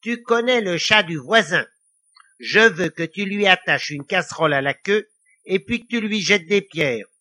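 Man playing a sneering, theatrical little devil, bright-voiced. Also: high-pitched, lively intonation, normal speed, pitched above the performer's own voice. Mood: scheming. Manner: sneering, theatrical, cackling taunts. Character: mischievous, boastful five-thousand-year-old little devil, male.

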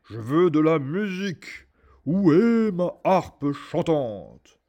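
Guy doing a big roaring ogre, slow and loud, kinda husky. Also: very animated, full character voice. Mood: demanding. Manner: slow booming roar. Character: huge roaring male ogre giant, greedy and fierce.

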